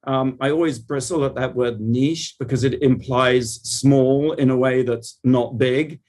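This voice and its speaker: Articulate man, mid-pitched voice. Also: steady tone, unhurried, a little mellow. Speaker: television producer being interviewed. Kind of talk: conversational interview answers.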